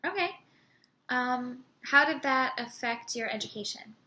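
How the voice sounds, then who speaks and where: A young woman interviewing a patient, asking steady questions with some fillers. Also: sits high, unhurried. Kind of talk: clinical interview.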